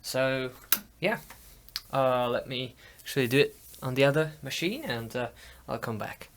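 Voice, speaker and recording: A man giving a casual hands-on tutorial, talking in a halting way as he thinks aloud. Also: mid-range voice, fairly bright tone, slight background noise. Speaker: audio hobbyist demonstrating tape machines.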